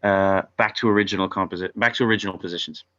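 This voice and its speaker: Deep-voiced man reading stage directions. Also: steady tone, fast talker, fairly bright tone, muffled recording. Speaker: narrator reading stage directions at a table read. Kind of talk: reading screenplay directions aloud.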